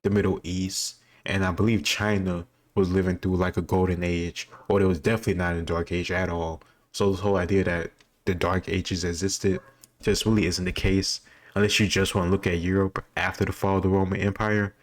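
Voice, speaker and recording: Low-pitched man, fairly even delivery. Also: brisk. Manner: rambling explanatory asides. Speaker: host answering quiz questions. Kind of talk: casual off-the-cuff commentary.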